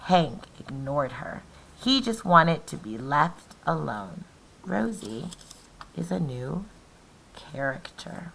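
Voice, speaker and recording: A woman teacher doing an interactive storybook read-aloud, deliberately questioning and explaining things to young children. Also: deep voice, very animated, vocal fry, a bit bright, a little hiss or hum, rough recording.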